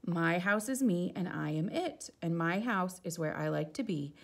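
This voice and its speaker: A woman voicing a proud, contented man from a picture book, speaking in firm, measured declarations. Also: on the low side, animated, gravelly.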